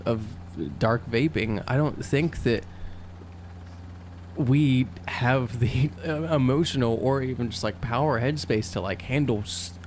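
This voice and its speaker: A man with a mid-range voice talks through a tabletop game as a player. He is deliberate and halting, often searching for the next word, with a faint hum in the background. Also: medium pitch, a little mellow. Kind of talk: casual in-character discussion.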